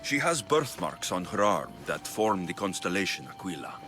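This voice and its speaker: Alexios, intense Greek mercenary, widely varied pitch. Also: male voice, very animated, moderate pace, over music. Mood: earnest, guarded. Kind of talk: dramatic video game cutscene acting.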